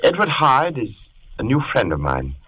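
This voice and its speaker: An actor playing an obsessed gentleman scientist with a dark secret, theatrical and intense, in an old radio horror drama. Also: mid-range voice, wildly swooping pitch, unhurried, slightly rough, heavily acted. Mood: smoothly evasive.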